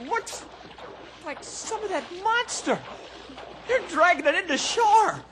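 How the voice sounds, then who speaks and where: A man playing an excitable, wide-eyed youngster in an old radio adventure. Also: extremely high pitch, slow pace, heavily acted. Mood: alarmed. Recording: noisy old radio recording.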